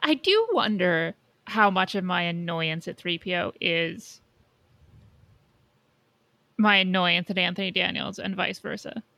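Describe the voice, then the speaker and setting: Gravelly, bright-voiced woman podcaster, close and dry. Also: fairly low pitch, expressive, a little slow, pauses often, dry recording. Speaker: podcast co-host. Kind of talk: casual chat.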